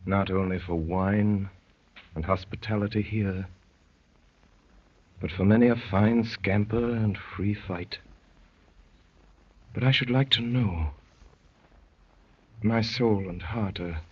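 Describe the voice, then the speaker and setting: An actor playing an earnest, haunted poet recounting a vision, husky, dramatic and literary, in 1930s radio drama style. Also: male voice, sits low, heavily acted. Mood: earnest, questioning. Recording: muffled, lo-fi 1930s radio recording.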